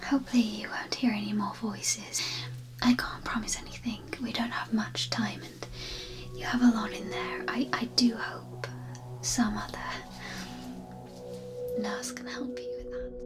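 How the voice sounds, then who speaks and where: A woman performing an ASMR roleplay as a gleefully macabre, brain-hungry zombie nurse, in a breathy, halting whisper. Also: a little high, little pitch movement, music in the background, close to an everyday voice. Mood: gentle farewell.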